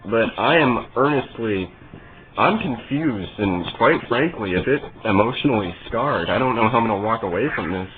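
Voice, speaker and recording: Man on a muffled line, deadpan and halting. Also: on the low side. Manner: deadpan, mock-earnest, halting. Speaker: prank caller. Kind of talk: prank phone call posing as a customer with a computer problem. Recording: muffled narrowband phone call.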